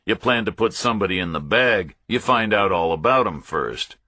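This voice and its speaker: A cool, relentless investigator played by a man interrogates in a thriller audiobook. The voice is low and grainy with a hard, forceful edge. He speaks in short, deadpan, sardonic phrases. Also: normal speed, breathy edge, no room sound, studio quality, full character voice. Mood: cold, accusing.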